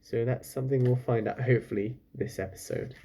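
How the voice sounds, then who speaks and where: A man's voice: mid-range voice, steady tone, brisk, creaky, warm tone, slightly rough. A man reading a children's book aloud expressively, stopping now and then for casual, chatty asides to his listeners. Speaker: storyteller, reader.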